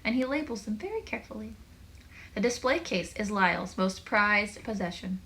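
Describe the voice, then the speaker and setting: A woman with a bright, clear-cut tone reads a children's story aloud. She has an average pitch and an animated delivery. She speaks to young listeners like a teacher, slipping in chatty asides. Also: normal speed. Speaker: teacher reading a book aloud.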